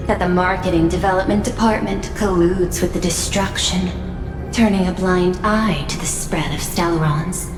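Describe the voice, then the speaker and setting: A woman's voice: medium pitch, natural voice. Echoing, formal, detached woman advisor, an actress's role. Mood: dry, detached. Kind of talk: video game dialogue acting.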